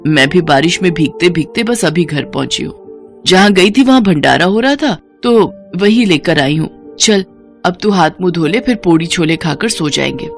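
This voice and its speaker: A woman playing a poor, weary, caring middle-aged mother in a cartoon story, speaking in a homely, gentle way. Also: on the low side, animated, moderate pace, soft background music.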